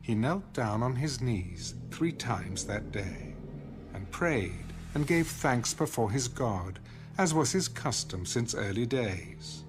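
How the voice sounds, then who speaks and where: A male narrator reads scripture as drama. He is slow, deliberate and solemn, with a mid-pitched voice. Music plays clearly beneath the reading. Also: lively intonation.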